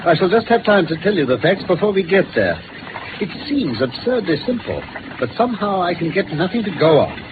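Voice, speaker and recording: An actor playing a confident gentleman detective with an English accent, precise and authoritative, in a 1950s radio drama. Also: high-pitched, sing-song, brisk. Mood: brisk, energetic. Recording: tinny, noisy old radio recording.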